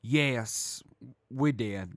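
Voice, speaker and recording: A man's voice: medium pitch, very slow. A man playing a composed, honorable mercenary major, speaking formally and earnestly in an audio drama. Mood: sober.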